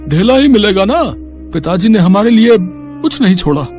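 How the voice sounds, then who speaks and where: A man's voice: high voice, sing-song, moderate pace, a little mellow, soft background music. A man voicing a greedy, cunning elder brother in a moral story, sly and assertive. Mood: feigned outrage.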